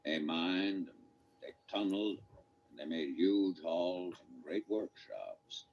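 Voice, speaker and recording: Dwarf chief, deep and stately, self-important radio drama delivery, muffled sound. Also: male voice, animated, slow pace, gravelly, darkish tone, a little hiss or hum, exaggerated voice. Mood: proud, reminiscing. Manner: grave, declamatory, pompous. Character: proud, grave, self-important dwarf leader. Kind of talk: British radio drama acting. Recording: dull, muffled radio play recording.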